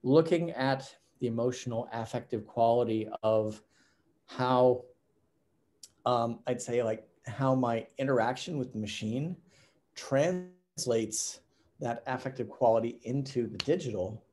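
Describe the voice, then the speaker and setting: A man's voice: medium pitch, unhurried, warm tone. A middle-aged man presenting his artwork over a video call, talking casually with many fillers and restarts. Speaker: artist presenting work.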